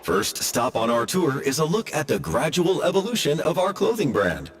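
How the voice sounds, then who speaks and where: A mechanical tour guide in a video game, reciting a scripted factory tour with stiff courtesy. His fairly high voice swoops widely up and down. A faint hiss sits under it. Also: wildly swooping pitch, normal speed. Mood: courteous.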